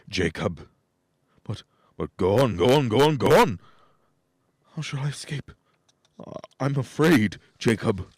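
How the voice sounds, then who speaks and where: A man playing a nervous, crotchety old miser in a radio play, husky and faltering, with a theatrical manner. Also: medium pitch, a little mellow, no echo, studio quality.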